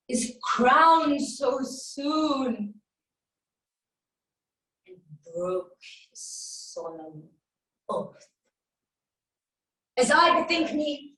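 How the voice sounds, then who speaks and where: A vengeful warrior queen in a Shakespearean stage monologue, her voice high and echoing around the room. She taunts with theatrical relish, drawing out her words slowly and mockingly. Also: fairly bright tone, heavily acted. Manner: theatrical, taunting, drawn-out delivery with swings. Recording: echoey room, distant microphone.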